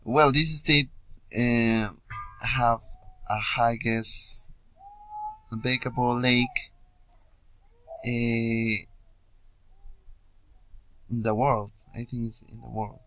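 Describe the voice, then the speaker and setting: A young man from Peru with a Peruvian Spanish accent, introducing himself informally as a student in hesitant learner English with frequent pauses. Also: medium pitch, steady tone, bright, forceful tone, a little hiss or hum. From Puno, Peru. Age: young adult. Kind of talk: informal self-introduction video.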